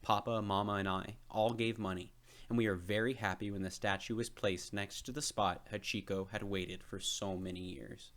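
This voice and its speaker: A man's voice: lowish voice, steady tone, normal speed, a bit bright. A man reading a children's storybook aloud as narrator. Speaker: storybook reader.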